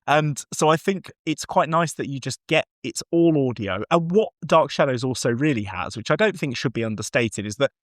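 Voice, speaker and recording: Man, medium pitch, podcast co-host, casual review talk, enthusiastic, discursive, self-correcting, dry recording. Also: expressive, normal speed, hardly any pauses, no room sound.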